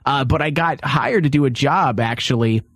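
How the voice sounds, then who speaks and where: A middle-aged man hosting a talk show with casual comic banter and animated, incredulous questioning. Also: medium pitch, moderate pace, dry recording, studio quality.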